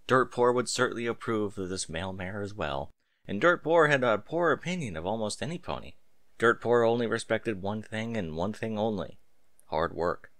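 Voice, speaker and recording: A man's voice: on the low side, expressive, moderate pace, a bit bright, no echo. A man reading a story aloud as scripted narration, with an emphatic, theatrical delivery. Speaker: audiobook-style story reader. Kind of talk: expressive scripted narration of fan fiction.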